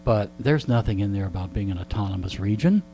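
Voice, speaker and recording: An older man tells animated anecdotes in a casual interview, repeating phrases and often checking in with a short "okay?". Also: lowish voice, fast. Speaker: radio veteran being interviewed. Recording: clear background noise with hum.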